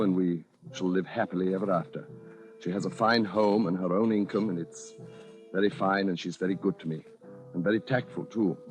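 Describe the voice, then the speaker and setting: A man's voice: low-pitched, natural voice. An actor in old-time radio style playing a deluded, meek yet grandiose man with brittle nerves, speaking formally and precisely. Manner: formal, polite, stiffly precise.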